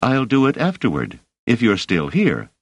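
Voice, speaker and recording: A man voicing a cautious, sharp-tongued young woman who speaks firmly and gives orders, in a storybook reading. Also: medium pitch, wildly swooping pitch, moderate pace, husky, no echo, pitched above the performer's own voice. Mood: stern. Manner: firm, commanding.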